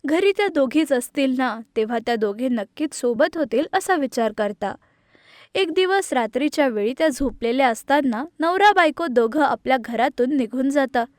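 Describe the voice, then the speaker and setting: A woman reading scripted narration for an animated moral story. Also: sits high, normal speed, dry recording, studio quality.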